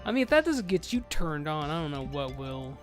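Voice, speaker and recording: A man in his thirties chatting casually while streaming a game with friends, loud and excitable and swearing freely. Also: a little high, a little fast, soft background music. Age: 30s. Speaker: streamer leading a game group. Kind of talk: casual live gameplay chat.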